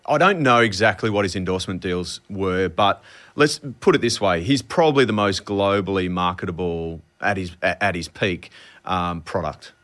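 An Australian man, a former sprinter, chatting as a podcast guest in a halting way with many restarts. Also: deep voice, a bit bright. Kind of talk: casual podcast chat.

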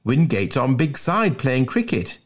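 A man's voice: medium pitch, very animated, unhurried, pitched above the performer's own voice. A man voicing a cheerful, teasing schoolboy in a storybook reading, playful and mocking. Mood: mocking amusement. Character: cheerful teasing schoolboys blocking a fat classmate. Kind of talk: storybook character voice in a dramatic reading.

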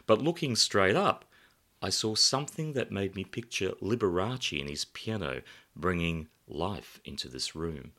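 A man reading his own novel aloud as first-person narrator, in an expressive literary style. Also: sits low.

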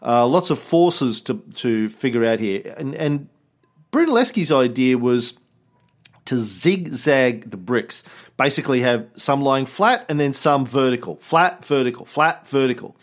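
A man's voice: medium pitch, a little slow. Guy hosting a podcast, jokey, swears a lot, voice bouncing all over. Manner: joking, swears freely, animated. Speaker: podcast host. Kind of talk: casual chat.

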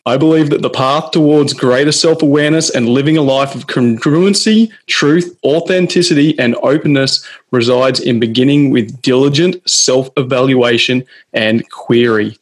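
A man hosting a podcast, reading a scripted intro slowly, then asking casual interview questions. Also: mid-range voice. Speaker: podcast host.

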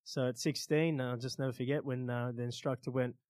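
Male Australian storyteller, conversational, average pitch, unhurried hesitations between phrases, dry room sound. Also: steady tone, a little mellow, no room sound. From Australia, born in Iran. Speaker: interview guest, stunt pilot and motivational speaker. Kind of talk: casual storytelling in an interview.

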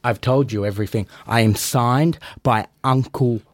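A man's voice: mid-range voice, moderate pace, dry recording. A man, a wrestler guest on a podcast, trading cocky, brash trash talk. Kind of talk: boastful in-character banter.

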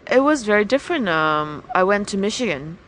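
Woman, winery owner, frank and halting, medium pitch, faint background noise. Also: sing-song, a bit bright, low-quality recording. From Hong Kong. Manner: frank, with frequent restarts and hesitations. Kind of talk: casual interview chat.

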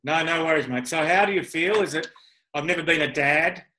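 A man's voice: fairly high pitch, brisk, bright, forceful tone. A man hosting a podcast interview in a casual, chatty, self-deprecating and rambling way. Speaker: podcast host.

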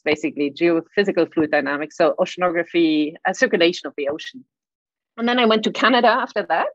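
Woman, German accent, middle-aged, lowish voice, stammering repeats, dry muffled sound. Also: no room sound. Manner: frequent stammered repeats, light laughs. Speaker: guest, climate scientist. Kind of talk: conversational interview answers.

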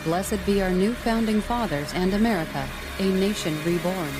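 A stern official announcer played by a woman reads an emergency broadcast in an audio drama. Her mid-pitched voice is formal and impersonal, with music clearly behind it. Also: moderate pace, lightly acted. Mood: stern, solemn. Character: stern female government announcer reading emergency decrees.

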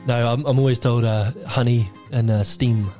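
A mellow-voiced man chatting as a musician guest on the radio. He talks in a relaxed, halting way, full of ums and uhs, with faint music in the background. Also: medium pitch. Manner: relaxed, hesitant, many fillers. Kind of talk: casual radio interview.

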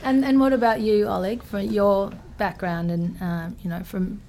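A woman co-hosting a podcast, asking questions and prompting her guests with quick follow-ups. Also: mid-range voice, fairly even intonation, dark tone, a little hiss or hum. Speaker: podcast co-host. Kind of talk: interview questions.

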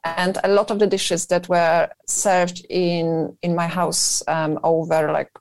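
Woman guest, Polish accent, chatty with lots of fillers, lowish voice, dry studio sound. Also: flat delivery, slow, dry recording. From Poland. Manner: talkative, thinks aloud with many "you know" fillers. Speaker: guest, business founder. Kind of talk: radio interview.

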